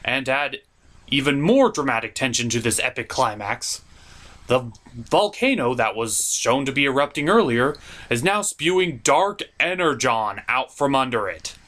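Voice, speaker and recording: A man's voice: mid-range voice, animated, normal speed, fairly bright tone. A man hosting a cartoon review show, delivering scripted comedic narration in a theatrical, jokey, emphatic way.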